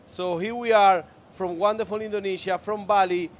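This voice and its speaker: A native Spanish-speaking man co-commentates an esports football match with a high, bright-pitched voice. He stays upbeat and keeps turning to the viewers at home. Faint traffic noise sits behind him. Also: steady tone, moderate pace. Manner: upbeat, addresses the chat directly. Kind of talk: bilingual color commentary.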